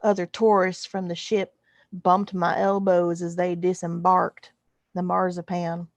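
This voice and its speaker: Woman doing a folksy alien with slow Texas drawl. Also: fairly low pitch, little pitch movement, no echo, dull audio. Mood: wry, folksy. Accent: exaggerated Texas drawl. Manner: folksy, dry, colloquial. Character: folksy, wry alien drifter narrating space western. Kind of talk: first-person science fiction story narration.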